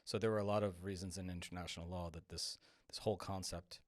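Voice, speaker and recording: A man's voice: sits low, fairly even intonation, quick pace, no room sound, studio quality. A man hosting a podcast interview, laying out the background before asking the guest a question. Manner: explaining, then asking. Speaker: podcast host.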